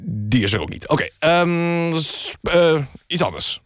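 Deadpan male radio news presenter. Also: on the high side, a little slow, no echo, clean studio sound, soft background music. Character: dry male news-magazine presenter, mildly exasperated.

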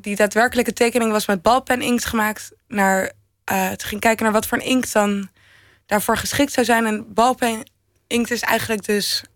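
Young woman, halting, in a dry studio. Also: medium pitch, no room sound. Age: young adult. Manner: halting, thinking aloud. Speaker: interview guest, fashion designer. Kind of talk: unscripted interview answers.

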